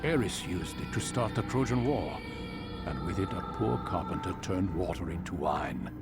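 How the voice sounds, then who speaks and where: An actor playing a grave, authoritative master of a medieval order, speaking in a measured, formal way. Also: male voice, low voice, animated, loud music behind the voice. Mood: solemn, reverent. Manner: measured, formal, solemn storytelling.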